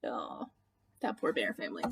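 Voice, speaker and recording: A woman reading a children's story aloud like a teacher, with expressive, deliberate storytelling. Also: a bass voice, vocal fry, slight background noise. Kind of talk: storybook read-aloud narration with asides.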